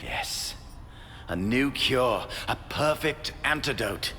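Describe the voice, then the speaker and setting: An actor playing a remorseful, obsessive middle-aged scientist in a video game, speaking in a halting, hushed, intense way. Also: male voice, medium pitch, expressive, a little hiss or hum. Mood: intense, reassuring. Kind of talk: dramatic video game voice acting. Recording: long reverb, music behind.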